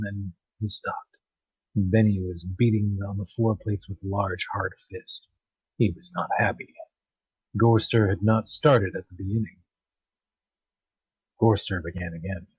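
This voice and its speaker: A man giving an amateur dramatic story narration, halting in places and stumbling over words. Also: lowish voice, slightly rough, no room sound, highs cut off. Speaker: narrator of online story readings.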